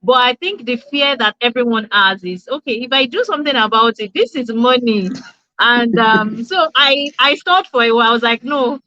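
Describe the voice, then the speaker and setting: A woman's voice: fairly high pitch, moderate pace, bright, forceful tone, slight background noise. A young Nigerian woman with a Nigerian accent, speaking earnestly as a dentist guest in an interview, with many ums and restarts. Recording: dull lo-fi dry sound.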